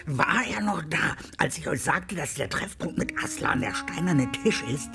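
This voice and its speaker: Gravelly serious beaver in a radio play, really animated and ominous, music underneath. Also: male voice, on the high side, normal speed. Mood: urgent. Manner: dramatic, ominous, swinging into hushed tones. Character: grave old talking beaver, earnest and wary. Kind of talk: German radio drama acting.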